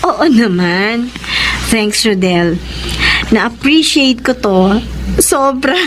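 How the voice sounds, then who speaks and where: A Filipino actress playing a gentle, sentimental woman newly in love in a radio drama, speaking slowly and from the heart. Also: a little high, expressive, background noise. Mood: grateful. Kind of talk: radio drama acting and letter narration. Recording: music bed under the voice.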